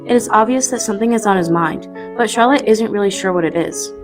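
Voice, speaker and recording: A woman's voice: mid-range voice, fast talker, over music. A woman reading scripted narration as the narrator of a radio play.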